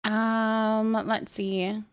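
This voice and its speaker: A woman with a high-pitched voice hosts a casual livestream. She is chatty and bubbly, addressing her viewers with affection as she greets them. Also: little pitch movement, very slow, clear, resonant tone. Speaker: live streamer hosting.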